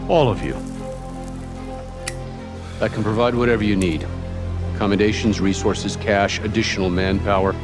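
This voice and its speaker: An actor playing a composed, persuasive government official in a video game, with formal, measured delivery. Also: male voice, fairly low pitch, music in the background, lightly acted. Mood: earnest, persuasive.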